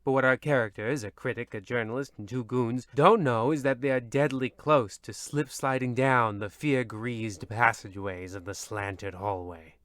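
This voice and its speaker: A man's voice: medium pitch, moderate pace, hardly any pauses, a bit bright, no room sound, close to an everyday voice. A man playing a weary, theatrical narrator in the style of an old-radio horror host. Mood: ominous. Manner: ominous, theatrical, grumbling. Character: weary, disappointed horror-show narrator and uncle.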